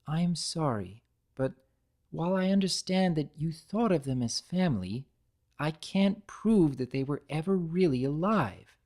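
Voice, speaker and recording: A woman voicing a calm, gentle, studious young female cartoon pony who reasons in a measured, considerate way. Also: sits low. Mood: apologetic, reassuring.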